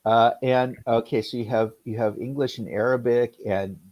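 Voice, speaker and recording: A man's voice: mid-range voice, steady tone, normal speed, dry recording, muffled recording. A man from Los Angeles with an American accent, tutoring English in casual conversation, asking long, loosely stacked questions. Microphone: video call.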